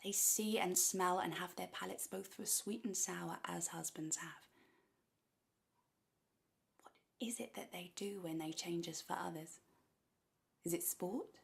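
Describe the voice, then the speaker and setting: Quiet, bitter Shakespearean wife, played by an actress. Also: female voice, fairly low pitch, gravelly. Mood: bitter, measured. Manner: slow, deliberate verse delivery. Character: wronged wife, bitter, measured, quietly defiant. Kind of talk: classical Shakespearean monologue acting.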